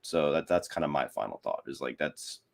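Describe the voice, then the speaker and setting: Low-voiced man chatting about movies. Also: animated, fairly quick, vocal fry. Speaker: podcast guest and co-host. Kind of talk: casual film discussion.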